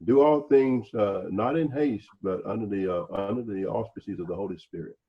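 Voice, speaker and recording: Man in his sixties, low-pitched, husky, deliberate halting discussion. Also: highs cut off. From North Carolina, United States. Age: 60s. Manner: halting, deliberate, many repeated words and pauses. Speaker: panel speaker, former special agent. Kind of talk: reflective discussion.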